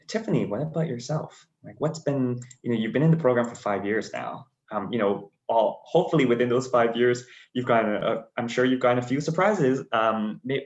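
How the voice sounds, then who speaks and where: A man hosting a student webinar, putting questions to panellists and rephrasing them hesitantly as he goes. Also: medium pitch. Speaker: host and moderator of a student webinar. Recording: muffled, echoey room audio.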